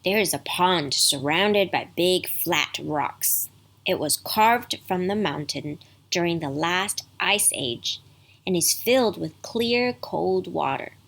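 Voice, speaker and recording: A woman voicing a kind, patient old grandfather in a bedtime story, soft-spoken and gently teaching. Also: on the low side, animated, takes it slowly, creaky, heavily acted. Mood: informative.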